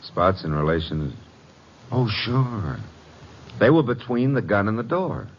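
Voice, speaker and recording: Deep, husky wisecracking American detective. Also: male voice, very animated, unhurried. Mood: wry. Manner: breezy, flippant, wry. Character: wisecracking, cocky American private detective's legman. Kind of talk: 1940s-50s radio detective acting. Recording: dull old radio recording.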